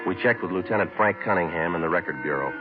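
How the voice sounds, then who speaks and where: An actor playing a terse American police sergeant in a 1950s radio drama, narrating his case in a clipped, deadpan, matter-of-fact way. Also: sits low, quick pace, lightly acted. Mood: flat, businesslike. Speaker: narrator and lead detective. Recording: muffled old radio recording, music.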